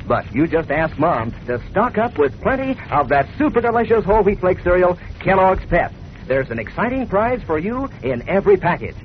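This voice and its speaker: A man pitches a product in chummy 1940s radio style. His fairly high voice moves up and down with enthusiasm, on a dull old recording. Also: very animated, moderate pace. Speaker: sponsor pitchman. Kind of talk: folksy 1940s radio advertising. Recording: muffled old radio recording, faint noise.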